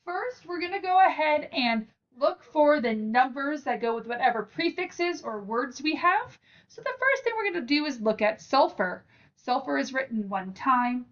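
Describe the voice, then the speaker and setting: A woman's voice: on the high side, far from the mic, lo-fi sound. A woman teacher giving an informal step-by-step lesson, speaking directly and encouragingly to the learner. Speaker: teacher and channel host.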